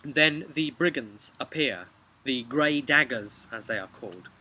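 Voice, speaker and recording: A young man with a British accent casually recounts a tabletop game session as game master, conversational, with emphatic pauses and tag questions. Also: mid-range voice, lively intonation, pushed and bright. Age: young adult. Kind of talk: casual vlog storytelling. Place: outdoors on a bench beside a brick house. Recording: noisy outdoor recording with traffic ambience.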